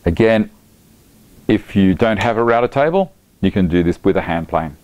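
A man's voice: lowish voice, expressive, moderate pace, a little mellow, lo-fi sound. A middle-aged man, chatty and enthusiastic, explaining a woodworking project step by step in his home workshop. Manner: chatty, enthusiastic, talks while working. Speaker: woodworking instructor.

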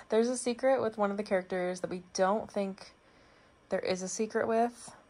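Young female vlogger, husky fry-tinged voice, loose chatty delivery, phone mic at home. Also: mid-range voice, fairly even intonation, unhurried, a little mellow. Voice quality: raspy. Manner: chatty, rambling, lots of "like". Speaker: book vlogger. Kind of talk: casual vlog chat. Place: home, bedroom and kitchen.

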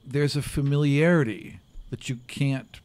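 Male game master, mid-pitched, narrating off the cuff with stop-start phrasing, close table mic. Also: steady tone, unhurried, a little mellow. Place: room, group around a table. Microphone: table microphone on arm, close.

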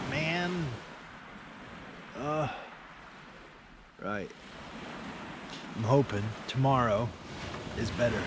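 A man in his forties chatting casually to camera as an angling vlogger, with a laid-back manner. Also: medium pitch. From United Kingdom. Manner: laid-back, often tags remarks with "man". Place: outdoors on a beach at night, in a small tent. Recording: noisy outdoor camera audio.

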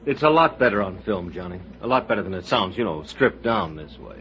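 An actor playing a calm, plain-spoken director in an old-time radio drama. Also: male voice, lowish voice, fairly quick. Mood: easygoing. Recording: noisy, muffled old radio broadcast recording.

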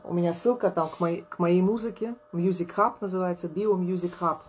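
A woman in her thirties, an artist vlogger, chatting casually to camera at a table indoors, tired and low on energy but friendly, laughing often. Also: fairly even intonation, a little fast, a little hiss or hum. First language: Russian. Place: indoors at a table, small room.

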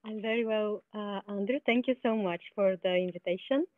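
A woman, a startup founder answering interview questions in a friendly, halting way with many fillers and repeats, speaking from outdoors over a video call. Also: medium pitch, no echo. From Bulgaria. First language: Bulgarian. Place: outdoors, sunny spot with palm trees. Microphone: laptop video call. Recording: tinny phone-like video call audio.

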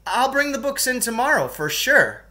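A man's voice: very high-pitched, sing-song, moderate pace, pushed and bright, pitched above the performer's own voice, exaggerated voice. A man voicing a defiant, cocky schoolboy in a storybook, speaking bluntly and with emphasis. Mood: gleefully provocative. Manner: blunt, emphatic, mock-formal threats.

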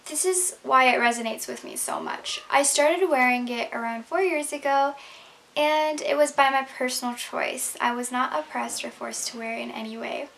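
Young woman from Canada, grew up speaking Urdu, high voice, earnest prepared talk recorded on a webcam. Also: steady tone, normal speed, creaky, fairly bright tone. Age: young adult. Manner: earnest and explanatory. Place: bedroom, indoors.

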